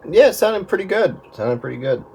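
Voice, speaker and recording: A man chatting casually and a bit haltingly over two-way radio. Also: sits high, very animated, gravelly. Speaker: amateur radio operator. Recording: radio transmission with faint static.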